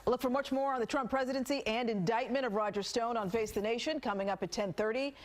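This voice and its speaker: A woman's voice: fairly high pitch, fast. A woman with an American accent reading the news as a TV anchor. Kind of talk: scripted news reading with light co-anchor banter.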